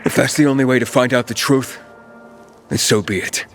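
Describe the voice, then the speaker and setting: An actor playing a stubborn, determined young survivor in a video game, speaking tersely. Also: male voice, medium pitch, steady tone, normal speed, close to an everyday voice. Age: young adult. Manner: terse, resolute. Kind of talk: video game character dialogue. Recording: music behind the voice.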